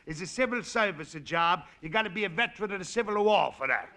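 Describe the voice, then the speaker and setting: An actor playing a brash, mocking Italian immigrant neighbor who speaks heavy broken English, in a 1940s radio sitcom. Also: male voice, high voice, animated, quick pace. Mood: teasing. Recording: old radio broadcast with faint hiss.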